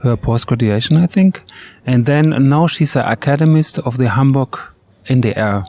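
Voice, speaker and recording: A German man being interviewed in English, not his first language, searching for words with plenty of ums and uhs. His German accent is clear, and his voice sits at an ordinary middle pitch. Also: moderate pace, darkish tone. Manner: hesitant, many ums and uhs, slightly imperfect English. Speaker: orchestra bassist, guest. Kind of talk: interview answers. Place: outdoor courtyard.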